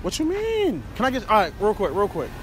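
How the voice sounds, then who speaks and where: A man's voice: high voice, wildly swooping pitch, fairly quick. Brash young man with street noise. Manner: brash, boastful, swaggering slang. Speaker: prank video host. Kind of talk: casual street prank banter. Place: outdoors on a city street, then inside a parked car.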